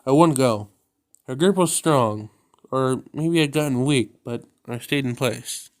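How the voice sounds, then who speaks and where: A man reads a visual novel aloud in a smooth, resonant voice. His telling stays subdued and steady. The sound is close and dry. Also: medium pitch, unhurried, dry recording. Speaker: video game narrator.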